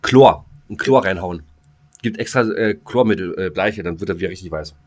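A man's voice: low voice, normal speed, a little mellow. A man co-hosting a casual podcast, joking in slangy speech, rambling and often interrupting himself. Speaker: podcast co-host.

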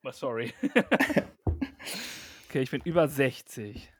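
A man's voice: mid-range voice, unhurried, slightly rough, no room sound, clean studio sound. A man co-hosting a podcast, playing a casual guessing game, teasing and laughing often. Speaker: podcast co-host.

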